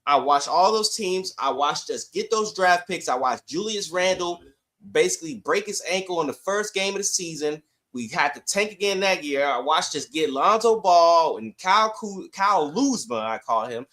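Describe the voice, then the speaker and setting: A man with a fairly high, very bright and forceful voice co-hosts a sports podcast. He argues casually but insistently, leaning hard on his points. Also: lively intonation, normal speed. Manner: emphatic, insistent.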